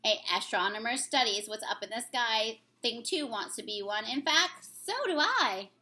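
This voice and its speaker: Bright, high-voiced woman reading to kids. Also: moderate pace. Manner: sing-song, expressive storybook reading. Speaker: teacher reading a picture book aloud. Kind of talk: children's read-aloud.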